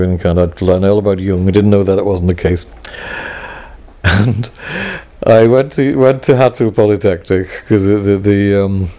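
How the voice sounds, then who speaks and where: A middle-aged man from Wales reflecting on his past in a halting, wry way, full of restarts. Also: fairly low pitch, warm tone. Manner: halting, many restarts and self-corrections, wry. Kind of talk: reflective personal talk.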